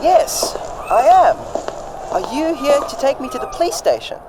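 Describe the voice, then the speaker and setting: A man's voice: very high-pitched, wildly swooping pitch, moderate pace, background noise, full character voice. A man playing a polite, earnest detective who is new in town, in a radio drama.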